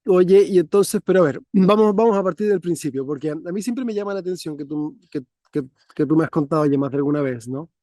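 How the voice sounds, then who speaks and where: Guy hosting his podcast, chatty, kinda high mellow voice, dry sound. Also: fast, dry recording. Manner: warm, chatty, many fillers and restarts. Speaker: podcast host. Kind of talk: conversational interview. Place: parents' country house.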